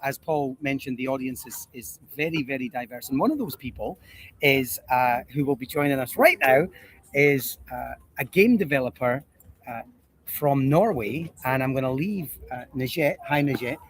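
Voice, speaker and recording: A man's voice: mid-range voice, normal speed, slight background noise. Upbeat male co-host, chatty. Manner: chatty, upbeat, hesitant fillers. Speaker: live stream co-host. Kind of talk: casual live-stream chat. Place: busy conference floor.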